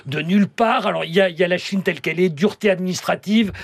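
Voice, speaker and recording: Guy hosting a book chat, raspy voice a bit on the high side, short punchy phrases, dry sound. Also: fast talker, dry recording. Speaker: interviewer, book show host. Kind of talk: conversational literary interview.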